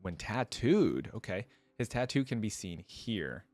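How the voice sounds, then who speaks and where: A man's voice: fairly low pitch, sing-song, a little slow, a little mellow, dry recording. A man giving casual reaction commentary, reading aloud and adding informal, exclaiming asides as host.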